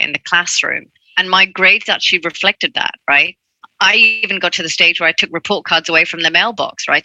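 A woman's voice: lowish voice, sharp tone, no room sound, low-quality recording. A woman from Hong Kong, a diversity and inclusion advocate, telling her story earnestly in a panel interview, often adding "right?". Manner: earnest, frequent "right?" and "look".

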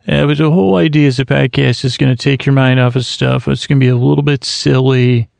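A man's voice: medium pitch, steady tone, fairly quick, a little mellow, dry recording. A man hosting a bedtime podcast, rambling in a meandering way with lots of restarts and trailing thoughts. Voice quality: gravelly. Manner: meandering, self-interrupting, many restarts. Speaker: podcast host. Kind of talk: rambling sleep podcast monologue.

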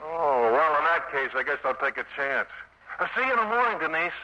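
An actor playing a brash, girl-chasing young American soldier, slangy and excitable, in a 1950s radio drama. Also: on the high side, fairly quick, heavily acted. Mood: anxious, hopeful. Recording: noisy, dull 1950s radio recording.

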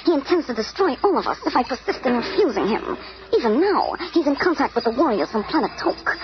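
High-voiced, melodramatic captive princess. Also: female voice, big pitch swings, fairly quick. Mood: earnest, bitter. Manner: melodramatic, urgent. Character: earnest captive alien princess, dramatic and warning. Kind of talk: superhero radio serial acting. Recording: muffled, noisy old radio recording.

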